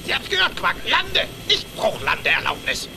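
An actor playing a brusque, commanding old rich uncle duck in a German cartoon radio play. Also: male voice, high-pitched, wildly swooping pitch, normal speed, vocal fry, noisy background, full character voice. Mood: brusque, bossy.

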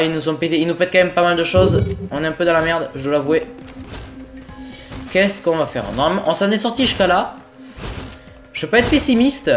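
A young man with a cold, casually commentating his own gameplay, exasperated and swearing as things go wrong. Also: on the high side. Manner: swearing, exasperated, talking to himself while playing. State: sick with a cold. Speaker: gaming video host. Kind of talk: casual let's-play commentary.

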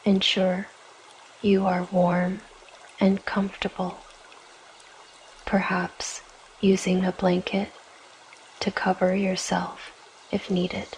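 A woman guiding a yoga nidra meditation in a gentle, soothing, measured way, leaving long pauses between short phrases. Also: medium pitch, completely flat delivery, a little hiss or hum, poor audio. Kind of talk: guided meditation, scripted narration.

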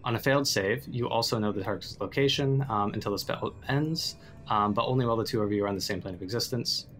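Low-voiced man, halting casual gamer. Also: a bit bright, slight background noise. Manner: halting, thinking aloud. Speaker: player in a tabletop roleplaying game. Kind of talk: casual, improvised game play.

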